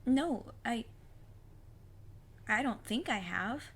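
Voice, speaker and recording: A woman playing a plucky, earnest fifteen-year-old witch's apprentice in an audio drama, with a husky voice and formal, precise wording. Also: on the high side, expressive, slow pace, a bit bright, full character voice. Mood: uneasy curiosity. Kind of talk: scripted audio drama acting.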